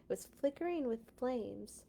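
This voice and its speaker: A woman hosting a children's read-along, reading storybook text with deliberate dramatic emphasis and dropping into casual asides. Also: fairly high pitch, expressive, gravelly, mellow, a little hiss or hum. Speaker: children's read-along host and Bible school teacher.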